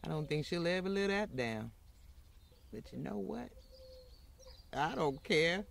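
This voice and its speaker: A woman playing an outspoken, unapologetic former maid, telling her story in a folksy, plainspoken way with dramatic pauses, in old-time radio style. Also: on the low side, animated, vocal fry, slight background noise. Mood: wry, satisfied.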